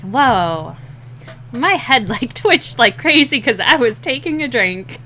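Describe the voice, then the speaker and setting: A young woman with a slightly rough, scratchy voice, rambling and laughing at herself in a casual chat while drawing, short on sleep. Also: fairly high pitch, very animated, takes it slowly, pushed and bright. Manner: rambling, giggly, self-deprecating. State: sleep-deprived. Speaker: digital artist streaming a drawing session.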